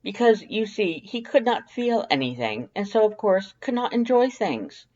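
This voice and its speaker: Theatrical male skeleton for audio fiction one reader putting him on: pompous, formal, loud, raised pitch, muffled recording. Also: big pitch swings, moderate pace. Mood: smug, declaiming. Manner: pompous, declamatory, formal wordy diction.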